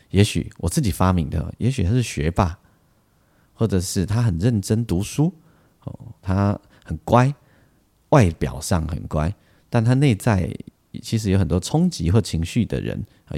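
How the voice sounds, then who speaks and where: Low-voiced Taiwanese man chatting about music. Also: warm tone. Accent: Taiwanese Mandarin. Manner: rambling, with frequent particles and asides. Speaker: music podcast host. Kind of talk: casual solo music talk.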